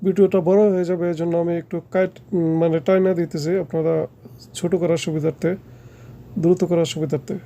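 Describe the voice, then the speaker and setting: A man's voice: fairly high pitch, level tone, fast, warm tone. A man with a Bangladeshi accent giving an informal hands-on how-to as an electrician, often repeating his points. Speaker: electrician presenting a how-to video. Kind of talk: casual hands-on tutorial narration.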